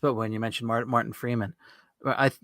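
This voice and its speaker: A man's voice: mid-range voice, flat delivery, quick pace, a bit bright, no echo. Man co-hosting a podcast, dry close sound. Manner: informal, conversational. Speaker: podcast co-host. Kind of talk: casual chat.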